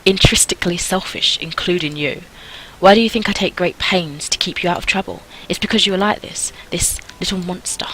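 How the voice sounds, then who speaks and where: A woman voicing a stern, cynical mother in a visual novel, cold and cutting, in a hushed delivery. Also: fairly low pitch, fairly quick, a little hiss or hum, natural voice, poor audio. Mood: cutting reproach. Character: stern, cynical mother scolding her daughter.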